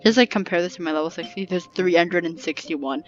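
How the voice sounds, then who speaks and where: A woman's voice: lowish voice, a little fast, muffled recording. A young woman giving casual, playful game commentary, muttering offhand reactions to herself. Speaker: gameplay streamer. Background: game music.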